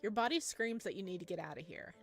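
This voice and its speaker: A woman's voice: medium pitch, close to an everyday voice. A woman reading a visual novel as a solemn, suspenseful narrator of a creepy horror story. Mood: tense. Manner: slow, hushed, suspenseful. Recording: music behind the voice.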